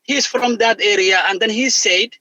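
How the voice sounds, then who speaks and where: Bright-voiced man. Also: high-pitched, dry recording. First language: Somali. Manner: animated, halting non-native phrasing. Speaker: guest language instructor. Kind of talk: explanatory conversation.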